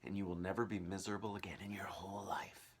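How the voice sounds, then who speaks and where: A man's voice: low-pitched, little pitch movement, quick pace, slight background noise, natural voice. A man voicing a mysterious old man in a children's storybook, giving secret instructions with conspiratorial urgency. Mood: hushed, excited. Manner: hushed and conspiratorial, swelling to urgent commands. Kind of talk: children's storybook read-aloud.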